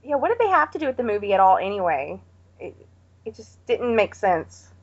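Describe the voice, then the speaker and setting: A woman's voice: medium pitch, sing-song, fairly quick, muffled recording. A woman talking informally as a podcast panelist in a casual, plain-spoken movie chat.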